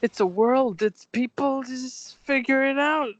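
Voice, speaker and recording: A man speaking as a podcast guest in casual chat, blunt and quick to swear. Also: very high-pitched, a crowd in the background.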